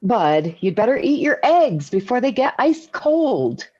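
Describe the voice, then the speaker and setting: An actress playing a calm, gently firm suburban mother in a 1940s radio sitcom. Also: on the high side, a little slow, slight background noise. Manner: soothing, mildly scolding. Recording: dull vintage 1940s radio recording.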